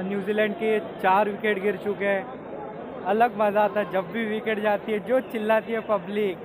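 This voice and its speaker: A young man vlogging in excited casual from the stands of a cricket stadium, mixing in English phrases, with his phone held at arm's length. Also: high voice. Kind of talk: casual vlog commentary. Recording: phone audio with loud crowd noise. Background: cheering crowd and music.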